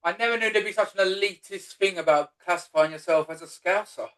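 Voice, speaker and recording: Cockney podcast host, high voice, loose and sweary, brotherly chatter. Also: male voice, brisk, fairly bright tone. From East London. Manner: swears freely, calls guest brother and man. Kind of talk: casual interview chat.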